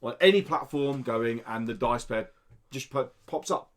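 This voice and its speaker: A man's voice: mid-range voice, normal speed. A British man hosting a podcast in casual, jokey chat full of slang. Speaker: podcast host.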